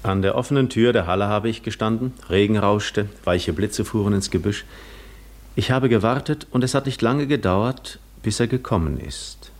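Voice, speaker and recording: Weary, lonely Greek wanderer played by a male actor, lowish voice, measured literary storytelling for a 1950s radio play. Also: natural voice. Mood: quietly astonished. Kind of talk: 1950s German radio drama narration.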